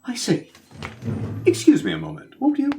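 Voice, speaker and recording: A man's voice: very high voice, sing-song, moderate pace, dark tone, soft background music. A man playing a vain actor turned agent in an audio comedy, pompous and flowery, putting on serene composure. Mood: strained calm. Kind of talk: scripted audio comedy acting.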